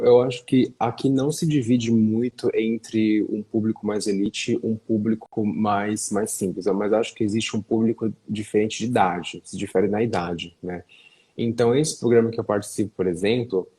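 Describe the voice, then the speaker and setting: Young brazilian guy on a video call, low kinda husky voice, dark tone, lots of "né"s. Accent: Brazilian Portuguese. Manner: chatty, frequent fillers and tag questions. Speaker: guest. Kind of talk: casual interview chat.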